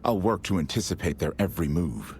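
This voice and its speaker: A deep, loyal military strategist. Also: male voice, lively intonation, darkish tone, slight background noise. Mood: thoughtful. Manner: formal, measured, thoughtful. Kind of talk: dramatic video game cutscene acting.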